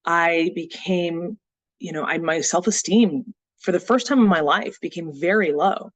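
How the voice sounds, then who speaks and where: A speaker from Texas telling a personal story in a motivational talk, earnest and reflective, often pausing on "you know" and "uh". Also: mid-range voice, dry recording. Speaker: summit speaker.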